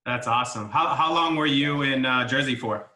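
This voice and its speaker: A man's voice: medium pitch, normal speed, sharp tone, roomy sound. A man hosting a casual sit-down interview in a pizzeria, chatty and friendly with lots of fillers. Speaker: host.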